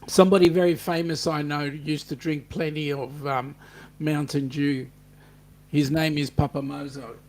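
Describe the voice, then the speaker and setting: An upbeat Australian man hosting a relaxed online chat and reading out viewer comments. His voice sits a little high for a man, and he keeps a friendly, chatty flow. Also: normal speed. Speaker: host.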